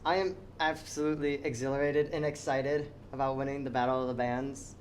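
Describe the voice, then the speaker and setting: A teenager, a student band member, speaking enthusiastically but with a flat delivery. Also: medium pitch, brisk, slight background noise. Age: teens.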